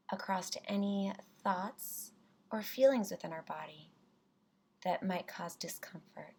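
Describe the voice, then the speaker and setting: A woman narrating a guided meditation in a soft, soothing, deliberate manner with pauses between phrases. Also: lowish voice, breathy edge.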